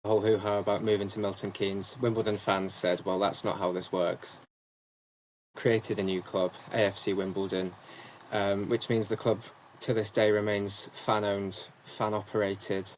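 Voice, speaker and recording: A young man who commentates on sport answers podcast questions fluently and at length, filling pauses with ums. His voice sits fairly low. A faint background hiss hangs under his speech. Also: level tone, low-quality recording. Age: young adult. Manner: articulate, talkative, with frequent ums. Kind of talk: casual interview answers.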